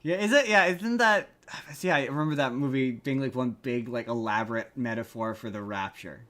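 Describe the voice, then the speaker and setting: Male podcast co-host, mid-pitched, casual and rambling, repeats phrases for emphasis. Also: moderate pace, bright, forceful tone. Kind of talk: casual chat reviewing movies.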